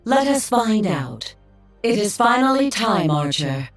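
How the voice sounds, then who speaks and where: A woman's voice: mid-range voice, very animated, measured pace, soft background music. A woman playing a regal female dragon guardian in a video game, formal and commanding. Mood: eager, challenging. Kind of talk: video game character voice lines.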